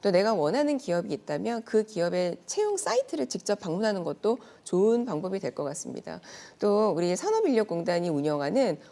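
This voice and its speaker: A woman's voice: mid-range voice, sing-song, brisk, hardly any pauses, warm tone. A woman speaking as an expert guest in a news segment, explaining things politely and point by point in a prepared, organized way.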